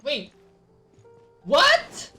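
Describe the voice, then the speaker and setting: A Filipino woman gamer with a bright voice talks casually through her playthrough. Her pitch swings widely while her pace stays unhurried. She reacts aloud as she goes, over game music. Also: wildly swooping pitch, far from the mic. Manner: reacts aloud, mixing English and Tagalog. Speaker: game streamer.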